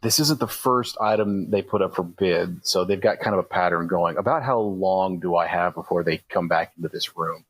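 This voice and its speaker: A man with a deep, rough-edged voice, captured dry and close. He plays a tabletop game, laying out his moves and rules casually, piece by piece. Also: moderate pace, few pauses, fairly bright tone, dry recording. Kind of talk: casual roleplay game talk.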